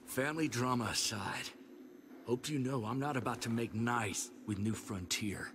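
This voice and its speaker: An actor playing a bitter, vengeful American survivor in a video game, blunt, cynical and rough-talking. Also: male voice, medium pitch, moderate pace, background noise, natural voice. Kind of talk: dramatic video game voice acting.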